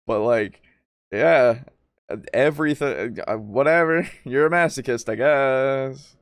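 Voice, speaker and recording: A man of average pitch chats casually as a co-host and game master. His delivery is informal and sweary, and the sound is dry and close. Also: takes it slowly, clean tone, no room sound. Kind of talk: casual roleplaying discussion chat.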